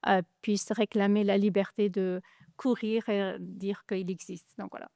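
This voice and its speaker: A middle-aged woman from Afghanistan, a guest runner telling her story in a measured, hesitant way. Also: medium pitch, little pitch movement, vocal fry, dark tone, dry recording, clean studio sound. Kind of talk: personal account in an interview.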